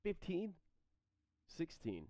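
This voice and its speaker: A man in his thirties, streaming and rambling through questions from chat, halting and repeating his words as he goes. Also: high voice, wildly swooping pitch, dark tone, dry recording. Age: 30s. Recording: dry, slightly dull stream mic audio.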